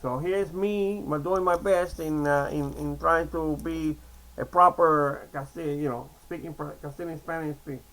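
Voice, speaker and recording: A man's voice: on the high side, fairly even intonation, normal speed, a bit bright, poor audio. A man in his sixties from Cuba, talking in a casual, rambling vlog monologue and often checking in with "okay?". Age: 60s. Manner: chatty, digressive, repeats "okay?" and restarts often. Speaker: vlogger.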